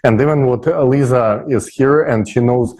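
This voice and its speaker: Emphatic man with a mellow voice. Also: mid-range voice, normal speed. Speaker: panel guest. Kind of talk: unscripted panel discussion.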